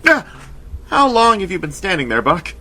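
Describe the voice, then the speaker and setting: A man plays an earnest young American soldier hero in an audio drama. The voice is bright with very wide swings in pitch. He comes across as bashful and halting, nervous despite his might. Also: wildly swooping pitch, exaggerated voice.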